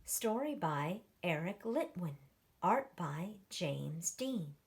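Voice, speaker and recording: A woman teacher reading a picture book aloud to children, animated, with gasps and questions to the kids. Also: lowish voice, slow pace, creaky, breathy edge. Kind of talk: children's story read-aloud.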